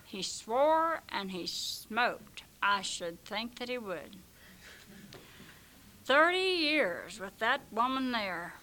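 A hoarse woman reciting a comic rhyming poem in an unhurried, expressive way. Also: a little high, poor audio.

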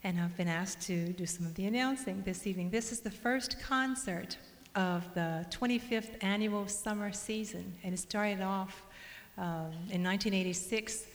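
A middle-aged woman from Louisiana who grew up speaking Cajun French, announcing a concert in a chatty, informal way with fillers and self-corrections. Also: mid-range voice. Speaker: mistress of ceremonies. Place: concert hall stage. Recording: reverberant hall.

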